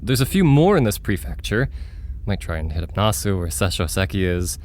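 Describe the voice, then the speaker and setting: A man acting an excitable, forgetful young man who tells his story in an earnest, conversational way for an audio drama. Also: low voice, brisk, slight background noise. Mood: matter-of-fact.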